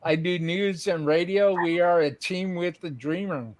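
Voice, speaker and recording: A man's voice: high voice, measured pace, no room sound. A man hosting a live-streamed show, chatting casually with a band, reading out chat messages and laughing often. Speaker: livestream show host.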